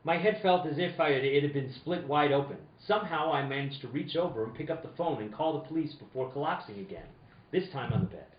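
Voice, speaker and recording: A man's voice: fairly high pitch, fast talker, close to an everyday voice. A man acting as a hard-boiled, earnest insurance investigator in an old-time radio detective play, with theatrical, slightly stumbling reading. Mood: wry narrating. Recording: roomy, dull, slightly noisy distant recording.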